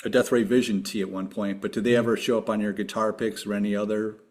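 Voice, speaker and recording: A man hosts a podcast, walking his guest through a round of quick, playful questions. His voice sits on the low side, and he keeps an upbeat, friendly delivery. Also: level tone, darkish tone. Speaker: podcast host. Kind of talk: casual interview questions.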